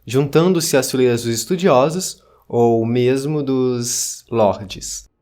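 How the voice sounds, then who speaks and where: A man reading scripted narration of an ancient text in a solemn, measured way. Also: mid-range voice, mellow.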